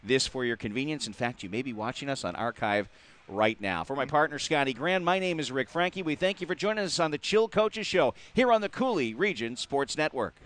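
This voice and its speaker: A man from the Upper Midwest hosting a local hockey talk show, chatty and quick to laugh, with many filler words. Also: medium pitch, expressive, fairly bright tone. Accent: Upper Midwest American. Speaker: host. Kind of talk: casual sports talk.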